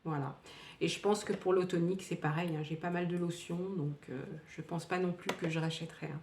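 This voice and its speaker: A woman in her fifties chats at length about used-up beauty products, trailing off into fillers and restarting her sentences. Her voice sits low for a woman. She is recorded from a distance in a room with a noticeable echo. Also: steady tone, a little fast, a little mellow. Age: 50s. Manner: chatty, rambling, many fillers and restarts. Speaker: beauty vlogger. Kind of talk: casual product review. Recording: echoey room, distant from the mic.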